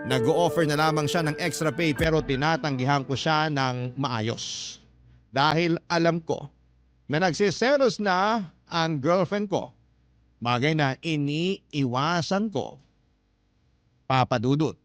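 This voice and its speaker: A man's voice: on the high side, slow pace, no room sound, studio quality. A man with a Filipino accent narrating a scripted first-person radio story in a confiding storytelling way. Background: prominent music.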